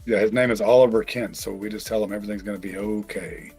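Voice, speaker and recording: A husky American man chats loosely on a live trading stream, drifting into joking stories. Soft music hums faintly behind him. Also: lowish voice, fairly even intonation, quick pace. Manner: joking, rambling storyteller. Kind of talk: casual live-stream chat.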